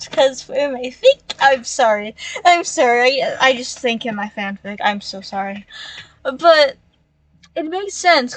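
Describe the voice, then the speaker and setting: Female game streamer: high-pitched, unhurried, reactive rambler, dry sound. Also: no echo, rough recording. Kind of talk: casual gameplay commentary.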